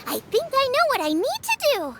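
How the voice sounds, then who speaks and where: A cheerful, earnest young girl chef in a video game. The girlish voice is extremely high and lively, eager and expressive, with music clearly playing behind. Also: a little fast, full character voice. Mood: thoughtful.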